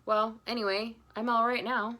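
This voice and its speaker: Woman as befuddled, excitable daddy bear. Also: fairly high pitch, normal speed, pitched above the performer's own voice. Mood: puzzled. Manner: puzzled self-talk, sudden bold exclamations. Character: befuddled, forgetful father bear, earnest and excitable.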